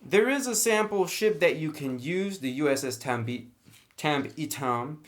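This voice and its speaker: A man's voice: a little high, lively intonation, measured pace. A man giving a casual, unscripted review of a roleplaying book in a conversational, enthusiastic way. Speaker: reviewer of tabletop roleplaying books.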